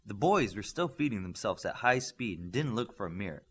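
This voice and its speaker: A man's voice: lowish voice, animated, a little fast, fairly bright tone, breathy edge. A man reading scripted story narration as an audiobook narrator.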